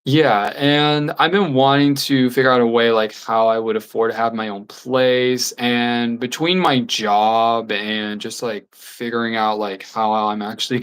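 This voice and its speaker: A 24-year-old livestreamer talking in a casual, candid and rambling way, with soft laughs here and there. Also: mid-range voice, smooth. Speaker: YouTube livestreamer.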